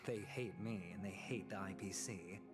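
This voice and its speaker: Man acting a low-voiced, self-assured game schemer. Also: normal speed, lightly acted. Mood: defensive, persuasive. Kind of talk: video game story dialogue. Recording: game music behind the voice.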